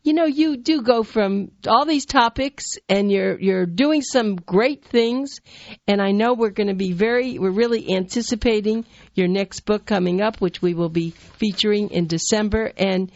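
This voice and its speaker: A woman's voice: mid-range voice, moderate pace, darkish tone, no echo, muffled recording. A woman hosting an interview show, gracious and full of praise for her guest. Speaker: talk show host.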